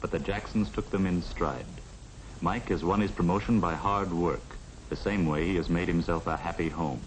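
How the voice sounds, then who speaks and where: Deep-voiced man narrating an old film. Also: moderate pace. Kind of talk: 1950 educational film scripted narration. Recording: faintly noisy 1950 film soundtrack.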